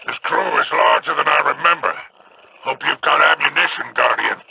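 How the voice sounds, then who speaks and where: An actor playing a shrewd, stingy male mercenary in a video game, with a gravelly voice and a wry, calculating manner. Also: a little high, moderate pace, background noise. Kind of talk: video game character dialogue.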